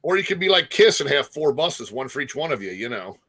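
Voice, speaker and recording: Bright-voiced man hosting a casual interview. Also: mid-range voice, very animated, brisk, slightly rough. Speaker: talk show host interviewing a musician. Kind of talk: casual music interview chat.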